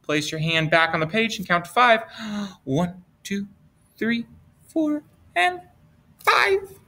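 Animated man reading aloud to young children. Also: high voice. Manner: playful, expressive, with drawn-out words and simple questions. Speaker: teacher reading a picture book to children. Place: indoors at a desk, webcam with book camera.

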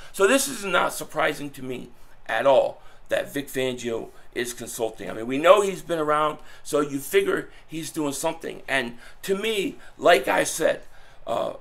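A man in his thirties, hoarse from losing his voice, giving animated casual sports commentary from a small room at home. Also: fairly high pitch. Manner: animated, fan talk with frequent restarts. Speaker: sports vlogger.

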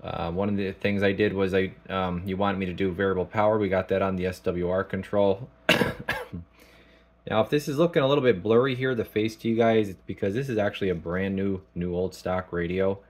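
A man giving a casual product demonstration as a technician, thinking aloud in a halting, informal way. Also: deep voice, steady tone, darkish tone. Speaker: radio technician. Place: small indoor electronics workshop.

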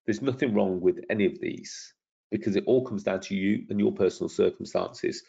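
A middle-aged man talks through a webinar, his low voice croaky and gravelly with a husky edge. He sounds persuasive and keeps turning questions back to the listener. The audio is dull and lo-fi. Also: darkish tone. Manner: persuasive, self-promoting, asks the audience questions. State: croaky from lingering illness. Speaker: property trainer hosting a webinar. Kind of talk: webinar presentation over slides.

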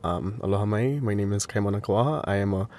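A man in his twenties from Hawaii, answering interview questions outdoors in a halting way with many pauses and restarts. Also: fairly low pitch, a little mellow. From Hilo, Hawaii. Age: 20s. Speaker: interviewee, Hawaiian language teacher. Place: outdoors at a protest camp.

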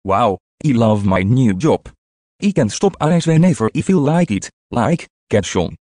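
A man's voice: medium pitch, expressive, normal speed, background noise, close to an everyday voice. A man voicing a smug, power-happy male race official in a meme video. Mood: gloating. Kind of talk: meme voiceover comedy.

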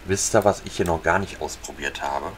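A man casually talking viewers through a fishing video game, relaxed and chatty. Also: low voice, a little fast, slight background noise. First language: German. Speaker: gaming channel host. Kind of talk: casual let's-play commentary.

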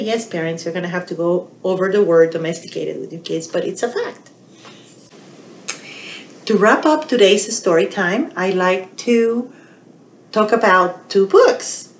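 A middle-aged woman leads a children's storytime in a roomy, echoey space. Her pitch swings in a lively way and she takes her time, talking straight to the little ones. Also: measured pace, slight background noise. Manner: animated, addressing little children directly. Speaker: children's librarian hosting storytime. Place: small indoor room. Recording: roomy, echoey home webcam sound.

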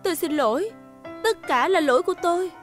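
Very high-voiced gentle robot maiden. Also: female voice, lively intonation, fast, soft background music. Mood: worried, sorry. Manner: pleading, earnest. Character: gentle, anxious young female robot in love. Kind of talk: dubbed cartoon acting.

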